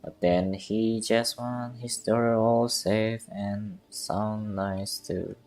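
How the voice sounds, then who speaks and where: A man who is not a native English speaker, giving casual live reactions as a game streamer, thinking aloud in halting, broken phrases. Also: fairly low pitch, fairly even intonation, darkish tone.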